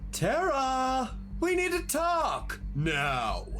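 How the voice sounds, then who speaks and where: A woman's voice: sits high, wildly swooping pitch, extremely slow, fairly bright tone, soft background music, exaggerated voice. A woman playing a bitter, scornful, rebellious emotion in an audio drama, with an imperious theatrical delivery. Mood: indignant. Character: bitter, rebellious personified Selfishness, indignant and scornful.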